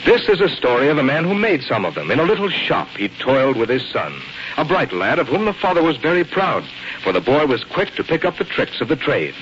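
A muffled 1940s radio broadcast with faint noise. A male announcer delivers scripted copy in a polished, conversational style with a very lively pitch range. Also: a little fast.

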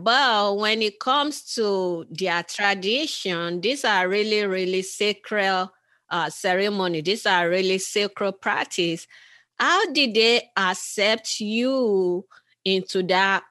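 Interviewer from nigeria, talks real slow, bright voice, dry sound, keeps rephrasing his questions. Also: high-pitched, no room sound. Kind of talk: remote interview.

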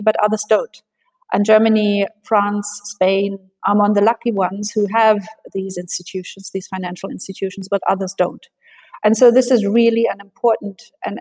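A woman speaking as an expert guest in an interview, answering in a measured, analytical way with frequent fillers and restarts. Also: mid-range voice, dark tone, low-quality recording.

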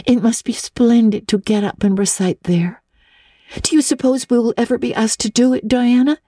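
Lady doing a dreamy little storybook girl, high n breathy, super earnest and dramatic. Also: steady tone, moderate pace, warm tone, dry recording, studio quality, natural voice. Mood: rapturous.

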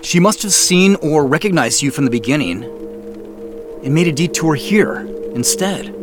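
Actor as anxious man, self-blaming, lively pitch swings, audio drama, music behind. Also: normal speed, lightly acted. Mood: alarmed, regretful. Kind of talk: naturalistic audio drama acting with first-person narration.

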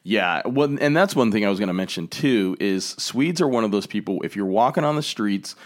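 A man's voice: lowish voice, lively intonation, a little fast. An American man hosting a travel talk show, chatting loosely with many fillers and restarts. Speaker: podcast host. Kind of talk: casual travel chat.

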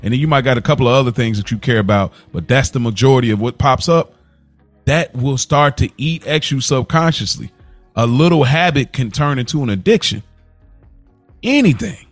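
A man's voice: mid-range voice, expressive, fairly quick, no room sound, faint music behind. A man co-hosting a casual podcast, talking informally with lots of slang and repeating phrases for emphasis. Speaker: podcast co-host.

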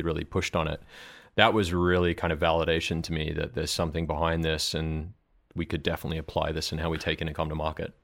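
A man's voice: low-pitched, fairly bright tone. A man, a marketing director, explaining his approach thoughtfully in a conversational interview, with frequent ums and uhs.

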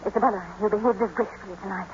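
An actress playing a proud, anguished young married gentlewoman in old radio drama, intense and imperious. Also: mid-range voice, steady tone, racing, lightly acted. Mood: scornful. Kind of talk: 1940s-50s radio drama acting. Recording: muffled old radio broadcast, faint noise.

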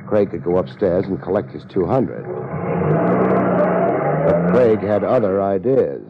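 A laid back tough american detective, real low voice, dry wry narration, 50s radio show, dull old recording. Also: male voice, measured pace. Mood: wry, deadpan. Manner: wry, deadpan, clipped. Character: laid-back, self-deprecating, tough male private investigator. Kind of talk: 1950s radio detective drama acting and narration. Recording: muffled old radio recording with loud music.

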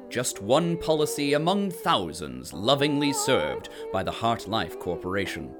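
A man narrating an audio drama in a wry, ornate corporate tone. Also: medium pitch. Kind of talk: scripted narration.

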